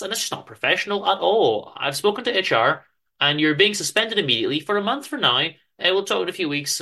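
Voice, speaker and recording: Story reading: exasperated male boss done by a man, reproachful and halting, slightly high, animated pitch. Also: heavily acted.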